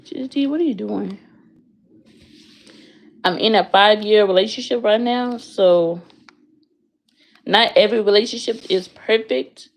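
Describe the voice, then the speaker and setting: Young woman streamer, casual and blunt, with a gravelly edge. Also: mid-range voice, unhurried, pauses often. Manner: blunt, frank, swears freely. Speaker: livestreamer answering chat. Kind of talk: casual livestream chat. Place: her bedroom, in bed.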